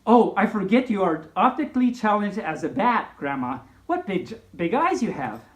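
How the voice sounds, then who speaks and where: A woman's voice: medium pitch, big pitch swings, moderate pace, reverberant, lightly acted. A woman voicing a confident, indignant young girl from a storybook, with a declamatory, lecturing manner. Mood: cheerful, condescending.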